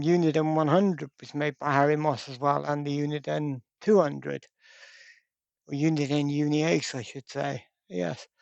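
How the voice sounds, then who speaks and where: A man's voice: fairly high pitch, creaky, a little mellow, no room sound. A man giving a casual, rambling hands-on explanation as a video host, often hesitant as he goes. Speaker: host of a radio repair channel. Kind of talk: casual hands-on product talk.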